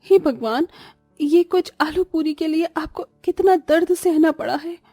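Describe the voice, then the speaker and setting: A woman as a high-voiced, plaintive young mother. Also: measured pace, dark tone, no room sound, soft background music. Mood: distressed. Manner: earnest, plaintive. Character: anguished, caring young mother and daughter-in-law in poverty.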